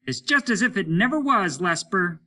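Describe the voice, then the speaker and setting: Bitter doomed astronaut for a story reading, acted by a man: pitched up, piercing, needling, desperate. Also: lively intonation, normal speed, pushed and bright, full character voice. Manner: needling, insistent. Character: bitter dying male spaceman, jealous, mean, desperate, shouting. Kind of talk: dramatic audiobook character reading.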